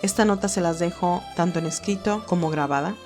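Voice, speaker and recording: A woman's voice: fairly low pitch, fairly quick, music in the background. A woman narrating a fan fiction audio story and reading scripted notes to listeners as its host.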